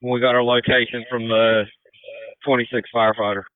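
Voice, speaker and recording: American man, emergency officer, medium pitch, smooth clear voice, thin dry radio transmission. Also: level tone, normal speed, dry recording. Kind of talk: emergency radio status reports. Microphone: two-way radio. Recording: tinny, dry two-way radio.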